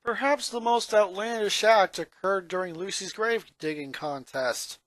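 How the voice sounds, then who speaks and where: A man's voice: sits high, unhurried, a bit bright, no room sound. A man reading a fan fiction story aloud as scripted narration.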